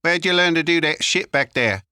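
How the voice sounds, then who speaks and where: A man's voice: a little high, normal speed, bright, forceful tone, clean tone, no room sound, clean studio sound, voice pushed up. A man voicing a wary, loyal young street lad in an audiobook thriller. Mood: cautious, probing.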